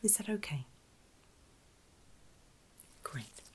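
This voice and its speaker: Woman whispering softly, close to the mic. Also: medium pitch, expressive, extremely slow, slight background noise. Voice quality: breathy unvoiced whisper. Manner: gentle, reassuring, checking in often. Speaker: ASMR performer playing an ear examiner. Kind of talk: whispered ASMR medical roleplay. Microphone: close ASMR microphone.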